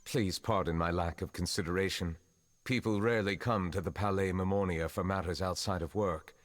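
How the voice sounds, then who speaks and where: A man voicing a courteous, reserved, centuries-old chief justice in a video game, speaking formally and with measured composure. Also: deep voice, fairly even intonation, dry recording, studio quality, soft background music, lightly acted. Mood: politely apologetic. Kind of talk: English video game dub acting.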